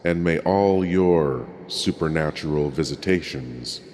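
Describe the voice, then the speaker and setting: A man's voice: rumbling low, measured pace, darkish tone, slight background noise, pitched below the performer's own voice. Spooky horror host, acted by a man, theatrical, ominous, dragging pace, long echoing reverb. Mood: ominous, gleeful. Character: spooky, theatrical horror-show host wishing listeners well. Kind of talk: scripted horror-host sign-off.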